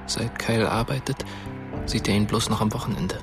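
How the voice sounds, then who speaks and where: An actor playing a bleak, bitter narrator in scripted German radio drama. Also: male voice, on the low side, brisk, over music, natural voice. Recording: distant from the microphone.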